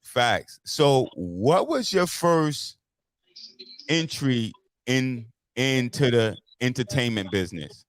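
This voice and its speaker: A man's voice: mid-range voice, very animated, slow pace, no room sound. A man born in the United States, hosting a live interview as a DJ in laid-back street talk, often chiming in with agreement. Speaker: host, DJ.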